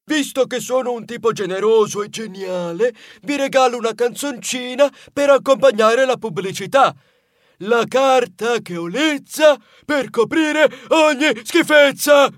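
A man's voice: extremely high pitch, normal speed, hardly any pauses, no room sound, clean studio sound, pitched above the performer's own voice. A man voicing a cheeky, boastful male storybook mouse with a brash, showy manner. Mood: wheedling, boastful.

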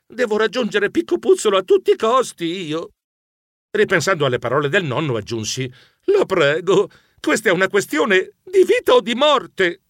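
A man voicing an anxious, bashful gentlemanly mouse who narrates his own adventure in a children's audiobook, expressive and fretful. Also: high voice, brisk, no echo, studio quality. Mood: flustered, worried. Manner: expressive, fretful, easily embarrassed.

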